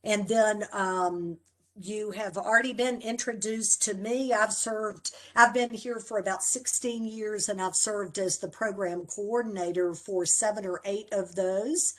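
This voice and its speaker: A woman, the program coordinator, introducing faculty members one by one on a video call in a measured, formal way. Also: mid-range voice, fairly even intonation, poor audio. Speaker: program coordinator hosting orientation.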